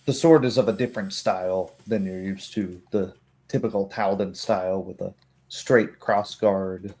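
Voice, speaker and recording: A man running a tabletop game as game master, improvising narration in a halting, thoughtful way. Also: lowish voice, mellow.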